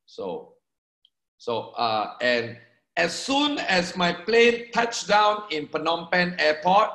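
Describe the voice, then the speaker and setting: A man in his forties talks to a seminar crowd, repeating his key words for emphasis as he draws points on a board. His voice sits a little high for a man. The sound is echoey, as in a meeting room. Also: very animated, takes it slowly, pushed and bright. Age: 40s. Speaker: network marketing speaker. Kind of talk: motivational seminar talk to a live audience.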